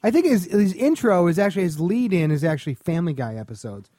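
A sardonic man with a fairly high-pitched voice talks casually as a podcast co-host. He sounds opinionated and conversational, recorded very dry with no room sound. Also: very animated, fast talker, dark tone, no room sound. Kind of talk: casual chat about film and television.

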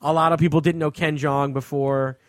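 American man, high-pitched voice, podcast host, casual movie chat, profane, conversational, dry close studio sound. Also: flat delivery, normal speed, no room sound.

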